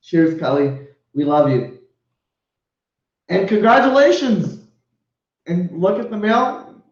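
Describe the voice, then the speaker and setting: Effusive higher-voiced man host, echoey. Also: big pitch swings, slow pace. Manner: effusive, swears, laughs a lot. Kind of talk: casual live show chat. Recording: echoey lo-fi stream audio.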